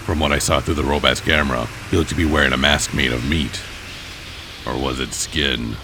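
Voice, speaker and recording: Very deep, grim brooding vigilante. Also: male voice, steady tone, a little fast, noisy background. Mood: grim, pensive. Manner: terse, grim. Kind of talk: noir comic-book narration.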